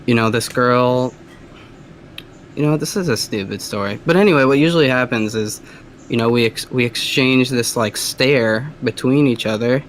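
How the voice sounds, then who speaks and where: A male caller with a soft, feminine-sounding voice, talking hesitantly and rambling with lots of fillers. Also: medium pitch, moderate pace, slight background noise. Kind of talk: casual phone call.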